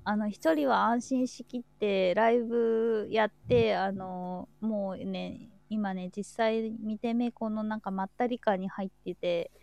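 Creaky-voiced woman in joking casual chat. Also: mid-range voice, steady tone, a little slow, few pauses, darkish tone, no echo. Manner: joking, teasing banter. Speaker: host. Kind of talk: casual chat on an audio livestream.

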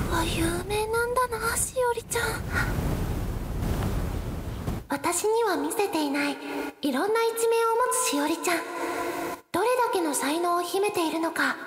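A woman voicing a teenage schoolgirl who is the captain of a futsal club. She plays her as bright and easily flustered in a radio drama. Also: very high-pitched, recorded from a distance, noisy background. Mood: amazed. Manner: bright, flustered, also narrates her own thoughts.

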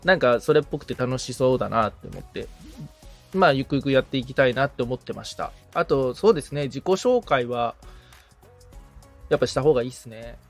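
A man's voice: mid-range voice, animated, a little fast, creaky, faint music behind. A man chatting casually and informally as a podcast assistant, thinking aloud as he goes. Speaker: podcast assistant, guitarist receiving advice. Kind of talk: casual chat.

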